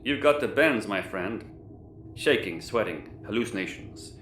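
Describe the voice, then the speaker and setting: A man's voice: lowish voice, lively intonation, measured pace, fairly bright tone, faint music behind. An actor playing a formal, dryly exasperated male crewmate in a sci-fi audio comedy. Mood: matter-of-fact. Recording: roomy echo, clear background noise.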